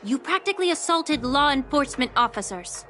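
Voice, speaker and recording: A high-ranking healer in a video game, composed and wry. Her voice is high and poised, with music behind it. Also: animated, brisk, bright, forceful tone. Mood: chiding.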